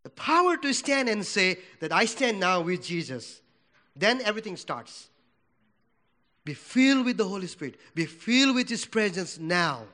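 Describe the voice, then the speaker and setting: A man from Nepal with a Nepali accent, for whom English is not his first language, preaching a sermon in a church, swinging from loud declarations to hushed prayer. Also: high voice, sing-song, normal speed.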